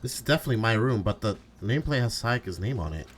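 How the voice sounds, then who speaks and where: A man's voice: fairly low pitch, expressive, fast talker, slight background noise, close to an everyday voice. A man voicing an earnest, anxious teenage schoolboy in amateur visual novel dialogue, hesitant and prone to stammering. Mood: puzzled, pensive. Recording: music behind.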